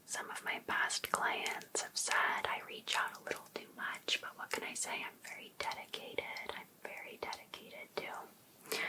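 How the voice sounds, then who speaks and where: A woman playing an overbearing, obsessive female life coach in an ASMR roleplay, softly asking attentive questions with sweet encouragement. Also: lightly acted, rough recording. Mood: defensive, reassuring. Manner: soft, unhurried, attentive questioning. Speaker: ASMR performer.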